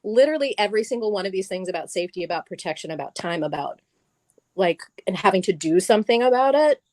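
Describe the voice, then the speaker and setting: A woman's voice: medium pitch, lively intonation, quick pace, a little mellow, no room sound. A middle-aged woman, a guest on stage at a live event, talking casually and animatedly, laughing often and restarting her sentences.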